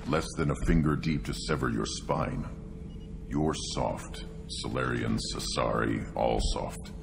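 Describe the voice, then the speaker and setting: A hulking alien brute from a video game, with a cavernously low voice thick with guttural gravel. He speaks slowly in blunt, brooding fragments, full of scorn for weakness, over clear background music. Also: exaggerated voice. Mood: scornful. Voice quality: guttural, growling. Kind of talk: video game dialogue acting.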